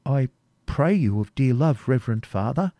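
A man voicing a gentle young woman prisoner who pleads softly for help. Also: medium pitch, expressive, moderate pace, dry recording, voice pushed up, close to an everyday voice. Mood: pleading. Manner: soft, pleading.

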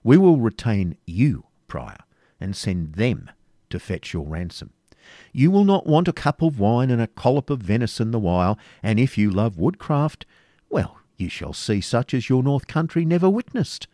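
A man plays a storybook outlaw captain who is used to command. He speaks gravely with a cool, wry edge and varied pitch, recorded close and dry. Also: very animated, normal speed, mellow, no room sound, natural voice.

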